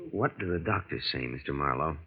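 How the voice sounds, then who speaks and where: A man's voice: rumbling low, lively intonation, brisk, heavy background noise. An actor playing a cool male impostor scheming for an inheritance in an old radio drama, speaking in a casual, slangy, hard-boiled way. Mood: guarded, casual. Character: smooth-scheming male impostor posing as an heir, narrating. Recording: muffled old radio recording.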